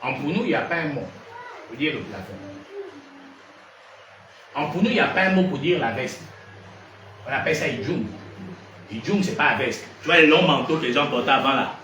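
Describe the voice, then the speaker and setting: A man's voice: mid-range voice, a little fast, long pauses. A man from Gabon preaching in to a congregation, keeps firing questions at the listeners. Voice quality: lively, questioning delivery that probes the audience. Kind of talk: sermon teaching to a congregation. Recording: echoey room from far off, noisy.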